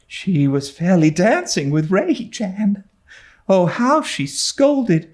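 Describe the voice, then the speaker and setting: A man voicing an excitable, loyal young girl with a dramatic, gossipy manner in an audiobook. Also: sits high, slow pace, voice pushed up, full character voice. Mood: excited. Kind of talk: dramatised audiobook reading of a classic novel.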